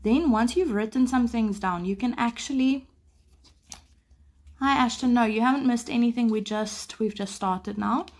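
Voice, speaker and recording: A woman who is sick teaches a live maths lesson, explaining patiently and clearing her throat often. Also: fairly high pitch, moderate pace. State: sick, voice a bit off. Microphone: phone camera over paper.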